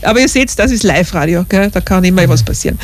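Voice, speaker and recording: A woman's voice: lowish voice, expressive, fast. A woman with an Austrian accent hosting a casual live radio interview in a studio, chatting and laughing easily with guests. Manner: chatty, laughs often, warm questions. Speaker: radio host. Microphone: studio microphone.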